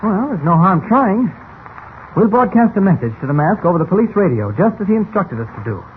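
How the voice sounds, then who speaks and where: An actor playing a calm, decisive newspaper reporter with brisk authority in an old radio serial. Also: male voice, high voice. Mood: determined. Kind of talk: 1940s radio serial acting. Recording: tinny, band-limited old radio with hiss.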